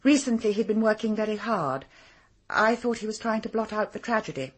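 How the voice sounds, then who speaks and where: An actress playing a cool, haughty English secretary in a radio drama, speaking formally and with composure. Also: female voice, medium pitch, expressive, brisk, natural voice. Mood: grave, measured. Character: cool, brisk, haughty female secretary to a powerful man. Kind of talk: British radio drama acting.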